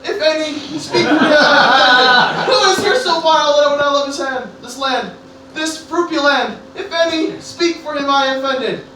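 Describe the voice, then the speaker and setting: A woman's voice: high voice, normal speed, close to an everyday voice. A woman playing an anxious teenage boy who gives an earnest, noble public oration in an amateur radio play. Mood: awkward, defensive. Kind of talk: amateur Shakespeare-parody radio play acting. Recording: very echoey, distant room, faint noise.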